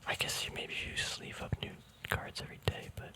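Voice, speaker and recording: A man speaking in a soft, breathy whisper, chatting casually and informally in a relaxed ASMR style as he handles cards. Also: a little fast. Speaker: ASMR card game vlogger.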